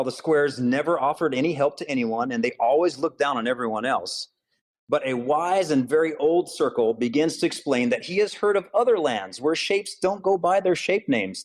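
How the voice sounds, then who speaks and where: A man from Hawaii speaks as an author and school counselor being interviewed. He explains his ideas earnestly and steadily, at a middle pitch, and keeps his delivery even. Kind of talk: interview answers.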